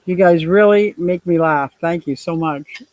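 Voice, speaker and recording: A woman in her sixties with a deep voice, hosting a casual livestream, reading viewer comments and laughing often in a gushing, playful way. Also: measured pace, darkish tone, no echo. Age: 60s. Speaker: livestream host.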